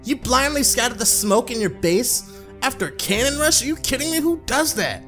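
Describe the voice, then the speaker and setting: A man's voice: extremely high pitch, sing-song, moderate pace, pushed and bright, faint music behind, voice pushed up, exaggerated voice. A man voicing a cocky, brash teenage boy gamer from a visual novel, dismissive and impatient. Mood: indignant.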